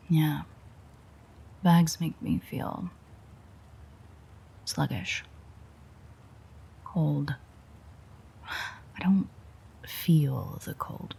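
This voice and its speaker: A woman playing a sardonic, teasing, world-weary female vampire in an intimate audio roleplay, with a breathy, husky, whispery voice and a dry manner. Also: deep voice, dark tone, exaggerated voice. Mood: weary, subdued.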